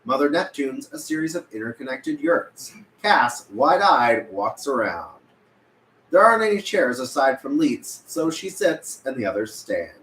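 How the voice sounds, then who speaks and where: A man's voice: mid-range voice, big pitch swings, unhurried, a bit bright. A man reading stage directions as scripted narration at a film table read. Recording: roomy, echoey with room noise.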